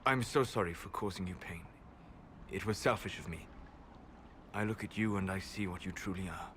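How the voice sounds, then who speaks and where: Aloof elven mage, low and measured, faint room hiss behind. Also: male voice. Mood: tender. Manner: calm, measured, soft-spoken. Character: aloof elven male mage, scholarly and guarded. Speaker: video game character. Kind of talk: game cutscene dialogue.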